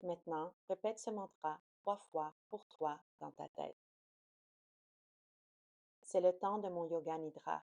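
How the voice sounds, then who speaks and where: A woman guiding a yoga nidra relaxation in a soothing, slow, unhurried manner. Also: on the low side, gravelly, a little mellow, no echo, lo-fi sound. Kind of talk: guided relaxation meditation.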